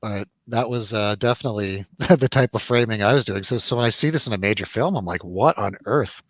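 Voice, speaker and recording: A man's voice: fairly low pitch, a little fast, a bit bright, dry recording, muffled recording. A man hosting a casual film review chat, rambling and jokey, putting questions to his co-host. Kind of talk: casual chatty film discussion over drinks.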